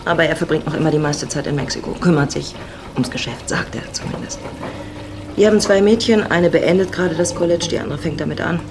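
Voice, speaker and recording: A woman's voice: deep voice, fairly quick, noisy background. An actress playing a worldly, flirtatious married woman in her forties, sardonic and self-assured, in a radio drama. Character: worldly, teasing 45-year-old married Mexican woman.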